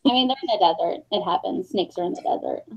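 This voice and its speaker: Gravelly-edged woman on dull call audio. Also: medium pitch, quick pace, darkish tone. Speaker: book club member. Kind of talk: casual book discussion. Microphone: group video call.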